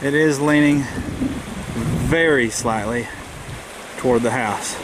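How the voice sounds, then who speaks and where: Man explaining outdoors over loud noise. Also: medium pitch, takes it slowly. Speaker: amateur radio hobbyist and vlogger. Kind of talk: casual how-to explanation. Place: outdoors in a rural yard. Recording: outdoor camera audio, loud background noise. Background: loud outdoor ambient noise.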